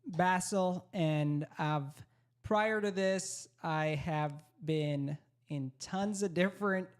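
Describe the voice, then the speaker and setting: Upbeat young guest from Malaysia. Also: male voice, high-pitched, slow, clean tone. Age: young adult. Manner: upbeat, confident, light laughs. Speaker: guest entrepreneur. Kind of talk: casual interview.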